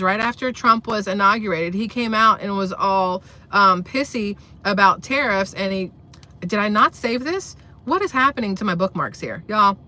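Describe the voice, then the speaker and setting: A woman's voice: mid-range voice, bright, forceful tone. A woman hosting a rambling political commentary vlog, blunt, profane and sarcastic, with a voice she says sounds boyish. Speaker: commentary channel host.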